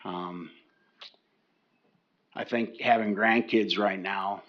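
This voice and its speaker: An older man giving a public comment at a county meeting, halting and often repeating words as he restarts his sentences. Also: on the low side, steady tone, highs cut off. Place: county commission meeting room.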